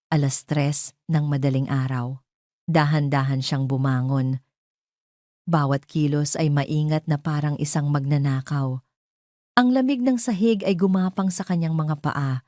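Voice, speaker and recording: A woman with a Filipino accent narrates a scripted dramatic story in a measured storytelling manner. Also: sits low, warm tone, dry recording, clean studio sound.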